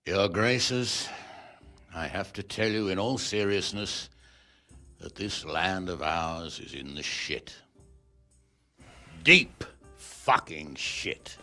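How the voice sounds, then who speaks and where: Brief: crude, outspoken lord in a game, low voice with a sharp, bright edge. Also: male voice, animated, slow pace, long pauses, soft background music, heavily acted. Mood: blunt. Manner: blunt, coarse, wry. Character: blunt, crude middle-aged male lord. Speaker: lord of a castle. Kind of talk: video game cutscene acting.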